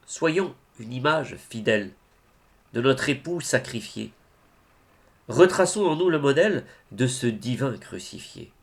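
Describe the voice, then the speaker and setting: A man reciting religious poetry in a solemn, declamatory way, phrase by phrase with deliberate pauses. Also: mid-range voice, lively intonation. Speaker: reader of devotional poetry.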